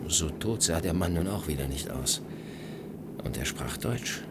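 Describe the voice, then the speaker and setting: A deep-voiced, suave cynical journalist. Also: male voice, expressive, a little slow, heavy background noise. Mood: skeptical, dry. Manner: ironic, teasing, mock-gallant. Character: suave, cynical male journalist chasing money, world-weary adventurer. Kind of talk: German radio play acting, 1980.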